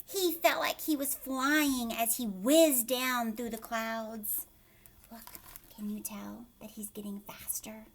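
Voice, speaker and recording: A woman's voice: a little high, steady tone, takes it slowly, a little hiss or hum. A woman teacher reading a picture book aloud to young children, expressively, with questions and asides to her listeners.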